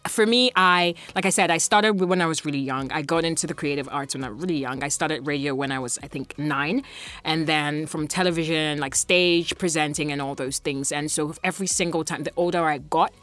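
A woman's voice: low voice, brisk, fairly bright tone, no echo. A young woman from Ghana, a podcast guest chatting casually, with many fillers and restarts. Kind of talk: casual chat.

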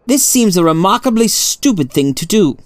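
A man voicing a sharp, thoughtful detective in a storybook, with a formal, incisive way of speaking. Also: fairly high pitch, big pitch swings, unhurried, no echo, clean studio sound. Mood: sharp, challenging.

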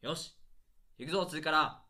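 Man playing a hot-headed, sharp-voiced theatre director. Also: a little high, normal speed. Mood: gruff instructing. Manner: gruff, scolding, rough-spoken. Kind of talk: radio drama acting, heated comic dialogue.

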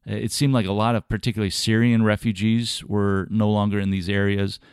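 A man hosting a podcast, talking earnestly and at a measured pace with some fillers, his voice slightly scratchy from a sore throat. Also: lowish voice, flat delivery, clean tone, no room sound, studio quality. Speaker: podcast host. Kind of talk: podcast monologue on ministry travels.

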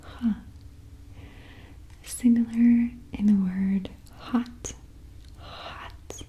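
A woman with a Russian accent, a native Russian speaker, softly whispering a patient lesson on the Russian alphabet, repeating each sound. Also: fairly high pitch, fairly even intonation, very slow. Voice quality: soft whisper. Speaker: language teacher.

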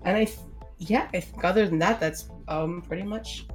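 A woman's voice: fairly low pitch, normal speed, faint music behind. A woman with a slightly scratchy voice from a sinus infection, chatting casually as a gaming podcast co-host, full of "like" and "um". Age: adult mother. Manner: chatty, fills with "like" and "um", swears freely. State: getting over a sinus infection, slight cough.

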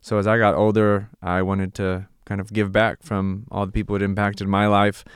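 Smooth low-voiced man, earnest. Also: monotone, normal speed, dry recording, clean studio sound. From California, USA. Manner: earnest, reflective storytelling. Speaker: missionary, ministry partner. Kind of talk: casual podcast conversation.

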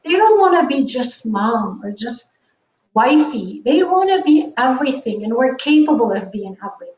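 A woman from Lebanon speaks with a high voice, earnest and reflective as she talks through her life. She sounds set back from the mic in a roomy space, and the sound is a little dull. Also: sing-song, moderate pace, darkish tone. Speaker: guest, online business coach. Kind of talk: conversational podcast interview. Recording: echoey, distant, dull sound.

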